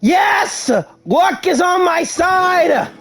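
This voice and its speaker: Male let's-player, notably high-pitched, casual gaming commentary, light music underneath. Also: expressive, very slow, gravelly, fairly bright tone. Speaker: gaming YouTuber.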